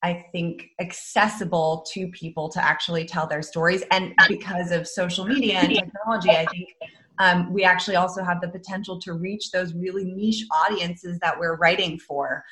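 A young woman from the Netherlands, an author speaking as an interview guest in a thoughtful, measured way with frequent ums. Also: on the low side, fairly even intonation, fairly bright tone, rough recording. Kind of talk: interview conversation.

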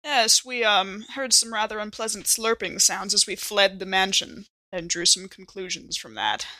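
A woman's voice: medium pitch, moderate pace, sharp tone, no room sound, poor audio. A woman improvising casual in-character dialogue as a player in a tabletop horror game. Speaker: player in an actual play podcast.

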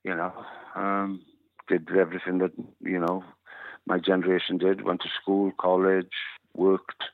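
A man's voice: sits low, completely flat delivery, measured pace, no echo. A Welsh man in his fifties, a musician whose first language is Welsh, speaking unhurriedly with many you knows and uhs. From West Wales. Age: 50s. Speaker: musician guest. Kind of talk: interview over a phone line. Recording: dry, tinny phone line.

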